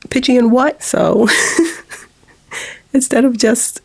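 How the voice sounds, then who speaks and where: Woman, 40s, low monotone gravelly voice; halting, fighting back tears in a confessional vlog. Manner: halting, with nervous laughs. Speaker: vlogger.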